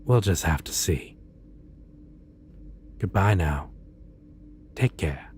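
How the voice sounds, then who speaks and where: A man playing a suave, theatrically polite supervillain in an ASMR roleplay, speaking with deliberate pauses and sly menace. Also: low voice, animated, a little mellow, a little hiss or hum. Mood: cordial, pleased. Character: suave male supervillain interviewing a minion, courteous, slyly menacing. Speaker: roleplay voice performer. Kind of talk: ASMR roleplay, scripted monologue.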